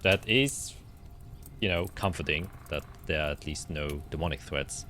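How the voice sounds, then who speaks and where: A man improvising narration as game master of a tabletop fantasy game, often pausing to search for his words. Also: extremely low pitch, bright, forceful tone, slight background noise.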